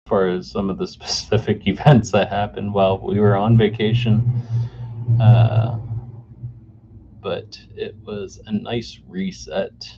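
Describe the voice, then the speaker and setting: A man in his forties hosting a solo podcast off the cuff, halting with many fillers and restarts, recorded on a camera mic indoors. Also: fairly low pitch, warm tone. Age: 40s. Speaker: podcast host. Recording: dull lo-fi camera mic sound with faint noise.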